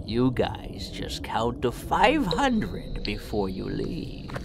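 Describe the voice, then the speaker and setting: A crooked space sheriff drawls very slowly and mockingly, his pitch swooping as he taunts with false politeness. It is a smug sci-fi villain over clear background noise. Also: wildly swooping pitch, exaggerated voice. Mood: smug, dismissive. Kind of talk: sci-fi audio drama acting. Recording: noisy with ambient sound behind.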